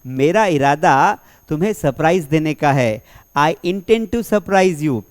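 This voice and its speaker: Man, young adult, English teacher, fairly high voice, energetic, rhetorical questions, slow repeated examples. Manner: energetic classroom delivery, slow dictation of example sentences. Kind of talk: spoken English lesson in Hindi.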